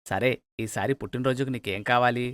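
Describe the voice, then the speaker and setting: A man's voice: mid-range voice, quick pace, dry recording, lightly acted. A man voicing a middle-aged father who indulges his favourite, emphatic and paternal, in a cartoon moral story. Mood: doting, proud.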